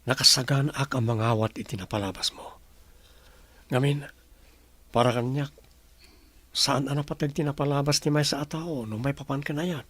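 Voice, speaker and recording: A man's voice: medium pitch, steady tone, moderate pace, pauses often, a bit bright, lightly acted. A man acting a devoted, earnest young suitor and husband in an Ilocano radio drama, with a Filipino Ilocano accent. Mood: tender, earnest.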